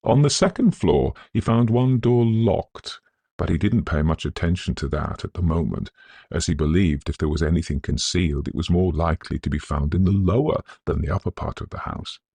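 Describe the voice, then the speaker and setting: A man narrating a Victorian ghost story in a scholarly, measured, theatrical manner. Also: low voice, vocal fry, dark tone, husky, no echo. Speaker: audiobook narrator.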